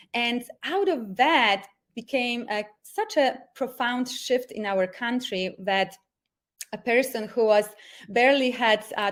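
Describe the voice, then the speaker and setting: Higher-voiced Polish-accented woman, mindset coach. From Poland. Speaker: mindset coach hosting a group call. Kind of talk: motivational webinar presentation with personal storytelling. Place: at home.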